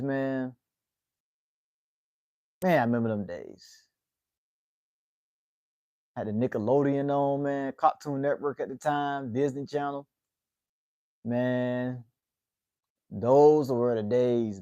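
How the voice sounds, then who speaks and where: A man's voice: mid-range voice, slow pace, long pauses, dark tone, clean tone, dry recording, highs cut off. Laid-back twenties man, dry close sound. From United States. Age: 20s. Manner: laid-back, drawls "man" at the ends of phrases. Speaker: livestream host. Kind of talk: casual livestream chat with viewers.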